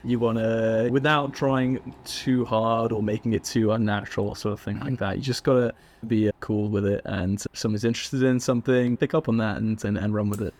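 A young man from England with an English accent, a guest telling stories in a relaxed, chatty way with frequent laughs and fillers. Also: lowish voice, fairly quick, hardly any pauses. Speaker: podcast guest. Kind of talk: casual interview chat.

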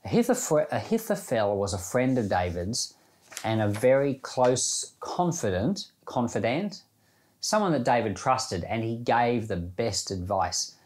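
A man's voice: mid-range voice, sing-song, unhurried, darkish tone. Man reading and discussing scripture devotionally. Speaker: church Bible podcast host.

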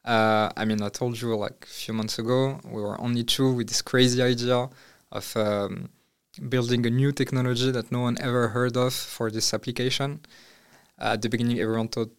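A man's voice: mid-range voice, steady tone, normal speed, clear, resonant tone. A young man from Morocco, a startup founder answering interview questions with many fillers and restarts.

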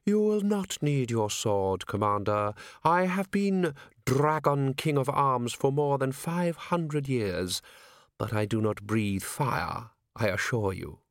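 A man's voice: mid-range voice, expressive, no echo. Guy doing creepy centuries-old herald, icy polite, very clipped and precise, formal, storybook villain type, dry recording. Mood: coldly courteous. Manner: formal, deliberate, unblinking. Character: ancient chilly male herald, courteous and sinister.

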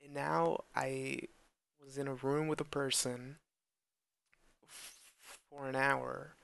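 A young man speaking slowly and earnestly in a personal monologue, with stuttered repeats and blocks. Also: medium pitch, little pitch movement, vocal fry, fairly bright tone. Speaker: podcast host who stutters.

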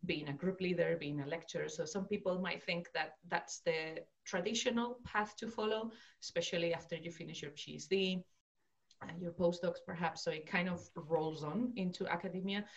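Woman, podcast host, very low voice, enthusiastic, lots of fillers, muffled sound. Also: steady tone, normal speed. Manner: enthusiastic, hesitant, many fillers. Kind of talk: casual interview chat.

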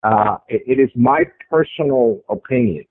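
A thin, phone-like line carries a man's strong, mid-pitched voice, close and dry. He talks as an interview guest, telling his own story conversationally. He repeats words and phrases to drive his points home. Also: lively intonation, moderate pace, no echo. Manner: emphatic, with repeated phrases for stress. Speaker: interview guest recounting a personal sighting. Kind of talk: conversational first-person storytelling. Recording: tinny phone-like radio line.

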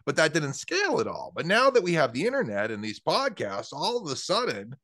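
A man's voice: on the high side, huge pitch swings, a little fast, a bit bright, no room sound, clean studio sound. A man hosting a podcast interview, talking in a chatty way with lots of fillers and enthusiasm. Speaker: podcast host. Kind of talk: casual interview conversation.

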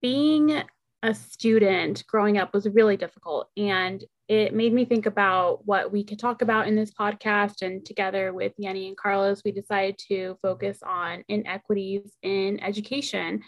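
Young woman co-host, spanish first language, earnest and measured, easing off on her main points. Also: medium pitch, steady tone, poor audio. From Oceanside, California. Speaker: podcast co-host. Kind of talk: podcast discussion.

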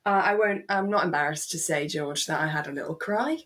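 Young female co-host, slightly low voice, casual recap chat with expressive, exclamatory storytelling. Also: a little fast. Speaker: podcast co-host.

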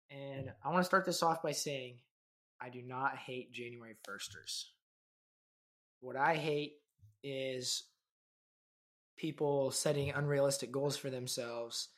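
A man in his twenties from Kansas, hosting a casual podcast chat in a loose, conversational way with many fillers. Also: mid-range voice. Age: 20s. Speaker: podcast host.

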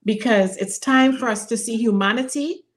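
A woman's voice: a little high, moderate pace. A woman moderating a panel discussion, speaking emphatically and repeating phrases for effect. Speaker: panel host.

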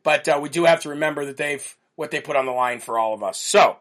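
A man hosting a live online show, speaking casually with a loud voice, rambling through fillers and restarts while reading viewer comments off a computer mic. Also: fairly high pitch, quick pace. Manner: self-described loud voice. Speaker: host of a live political show.

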